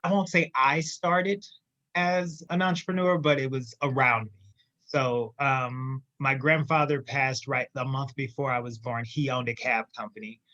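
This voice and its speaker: Lively man on a dull remote line. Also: mid-range voice, unhurried, dry recording. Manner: lively, emphatic storyteller. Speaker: guest entrepreneur. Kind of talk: casual interview storytelling.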